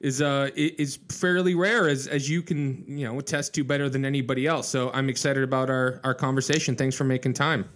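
A man's voice: medium pitch, flat delivery, a little fast, hardly any pauses, a bit bright. A man hosting a podcast in a conversational style, appreciative and enthusiastic as he introduces and interviews a guest. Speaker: podcast host.

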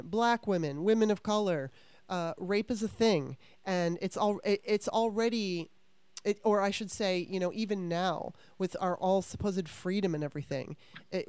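A woman hosts a podcast in a relaxed, chatty way, her voice neither high nor low. She often stops and restarts her thoughts. The sound is dry and close, with no room echo. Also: smooth. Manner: chatty, animated, frequent restarts. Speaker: podcast host. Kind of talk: casual interview chat.

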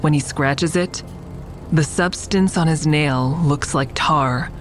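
An actress playing a reflective, tender woman who narrates an audio drama in measured, descriptive first person. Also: deep voice, soft background music. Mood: uneasy, suspenseful. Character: reflective woman admiring a friend's mural, tender. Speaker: narrator and lead character.

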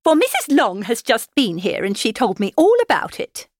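A woman voicing an excitable, nagging middle-aged wife and mother in a gushing, insistent, theatrical way for an audiobook. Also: fairly high pitch, normal speed, no echo, clean studio sound. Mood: eager. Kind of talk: dramatised audiobook character reading.

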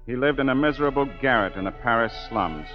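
An actor playing a solemn storyteller who narrates deliberately in the scripted style of 1940s radio drama. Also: male voice, medium pitch, expressive, close to an everyday voice. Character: smooth, solemn male storyteller recalling a tragic tale. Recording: dull old 1940s radio broadcast. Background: music.

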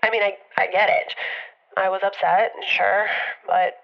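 A dull, lo-fi phone recording. a hesitant woman leaving a heartfelt message in an audio drama. Her delivery is halting, gentle and conciliatory. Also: mid-range voice, flat delivery, creaky. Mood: consoling. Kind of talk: audio drama monologue as voicemail.

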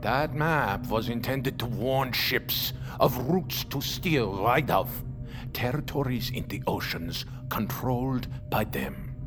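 Slow, ominous old seafarer. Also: male voice, medium pitch, expressive. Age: older. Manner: slow, brooding, theatrical storytelling that swells to intense warnings. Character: grizzled old seafarer, ominous and fervent believer in monsters. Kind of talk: audio fiction drama acting. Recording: dramatic music behind the voice.

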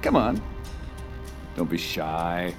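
An actor playing a smooth, commanding male tech visionary who presents like a showman in an audio drama. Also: deep voice, wildly swooping pitch, slow pace, heavily acted. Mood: showmanlike. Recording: dramatic music score behind.

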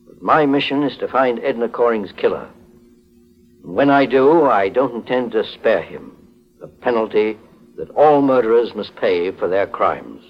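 An actor playing a calm, firm middle-aged private investigator who questions formally and politely in a golden age radio mystery. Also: male voice, mid-range voice, moderate pace, faint music behind. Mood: solemn, resolute. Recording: muffled vintage 1940s radio recording.

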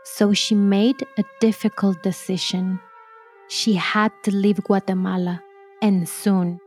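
Woman narrator for a life story: unhurried, deliberate read, mid-pitched, faint music underneath. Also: level tone, a little mellow. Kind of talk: scripted children's biography narration.

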